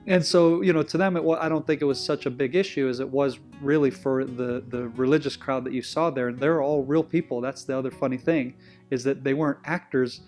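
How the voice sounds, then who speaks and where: An Israeli-accented man speaking English, which is not his first language, sits as an interview guest. His pitch sits in the middle for a man, and he tells stories in a loose, rambling way with restarts. Faint music plays underneath him. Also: fairly even intonation, brisk, darkish tone. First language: Hebrew. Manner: rambling, self-correcting, with frequent restarts. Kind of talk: conversational interview storytelling.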